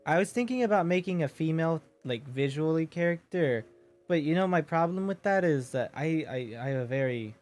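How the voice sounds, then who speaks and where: A deep-voiced man talks casually and jokingly to his viewers from a home streaming setup. A soft bed of game music sits low behind him. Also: animated, brisk. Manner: chatty, joking, reads viewer messages aloud.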